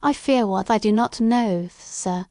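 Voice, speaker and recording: A woman's voice: mid-range voice, a little slow, dark tone, no room sound, close to an everyday voice. A woman playing a respectful but firm young Victorian office clerk in an audio story, formal and earnest. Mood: anxious.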